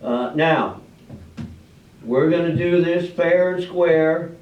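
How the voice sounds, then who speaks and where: A man playing a genial, folksy small-town official in an amateur staged reading, with halting homely speech. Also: a little high. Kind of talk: amateur readers theater stage acting. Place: live play in a public library room. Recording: echoey room, distant mic, dull sound.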